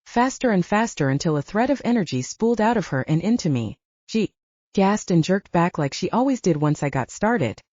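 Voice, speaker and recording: A woman's voice: on the low side, very animated, moderate pace, a little mellow, no echo, lo-fi sound. A woman reading first-person fiction as an audiobook narrator.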